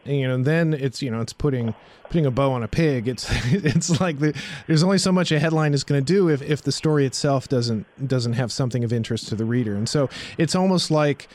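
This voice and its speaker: A man's voice: mid-range voice, fairly quick, darkish tone. A man, hosting a podcast in a conversational interviewing style. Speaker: podcast host. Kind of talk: conversational interviewing and show outro.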